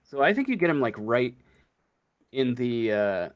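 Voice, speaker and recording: Slow, halting male game master. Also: medium pitch, very animated. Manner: hesitant, halting improvisation. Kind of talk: casual tabletop game narration.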